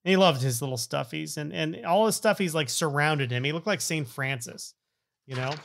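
A man's voice: fairly high pitch, normal speed, creaky, a bit bright, slight background noise. A middle-aged man hosting a podcast, talking informally and emphatically, often repeating phrases for effect. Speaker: podcast host. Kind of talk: conversational podcast intro and interview.

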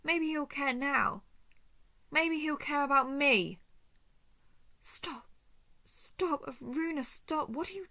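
A woman playing a possessive, desperate female dragon in an intimate roleplay audio drama, with a breathy voice that swings between tender and frantic. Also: sits high, slow pace, pauses often, fairly bright tone, exaggerated voice. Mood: panicked.

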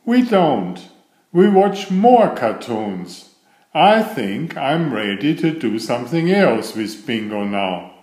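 Slow, animated male storyteller in echoey room. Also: mid-range voice. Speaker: children's book reader. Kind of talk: slow, expressive picture-book read-aloud.

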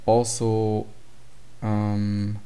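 A man's voice: lowish voice, monotone, drawn-out words, dark tone, clean tone. A man giving an informal, matter-of-fact tutorial walkthrough of program code. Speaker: tutorial presenter.

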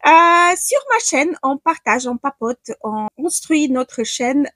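A woman's voice: high-pitched, lively intonation, slow pace, a bit bright, dry recording, clean studio sound. A woman in her forties from Ukraine, speaking with a Ukrainian accent as a non-native speaker, chatting casually through a makeup tutorial at home with frequent hesitant fillers. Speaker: beauty vlogger. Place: small room at home, indoors.

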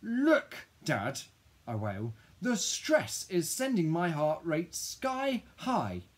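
A man voicing a grieving, dramatic ten-year-old boy who tells his own story in an expressive, husky storybook voice. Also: lowish voice, unhurried. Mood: indignant, distraught. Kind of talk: first-person children's audiobook narration.

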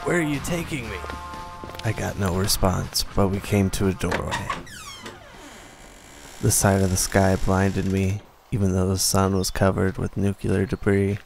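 A man playing a weary, bitter survivor who narrates a post-apocalyptic radio play in a grim, deliberate way. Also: sits low, vocal fry, a little mellow, soft background music.

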